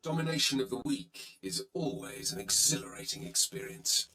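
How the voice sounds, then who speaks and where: An actor playing a haughty, menacing dark warrior in a video game, imperious and curt, with a roomy echo. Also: male voice, mid-range voice, expressive, moderate pace. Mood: cold, menacing. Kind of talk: video game hero-villain acting.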